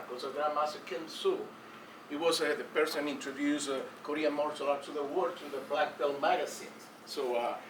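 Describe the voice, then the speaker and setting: Cuban man speaking haltingly from the floor. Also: medium pitch. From Cuba. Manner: halting, many fillers and restarts. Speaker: audience member at academic taekwondo conference. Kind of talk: unscripted comments from the floor. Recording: roomy hall from a distance with faint noise.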